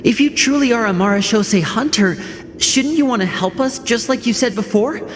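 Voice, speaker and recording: An actress playing an earnest, questioning young adventurer in a video game. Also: female voice, a little high, normal speed, a little hiss or hum, lightly acted. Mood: confused, earnest. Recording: long hall reverb under music.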